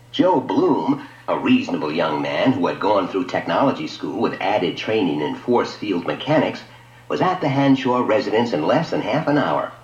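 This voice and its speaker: A man narrating a scripted science fiction story in a dramatic radio storytelling style. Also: on the low side. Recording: dull lo-fi audio, echoey room.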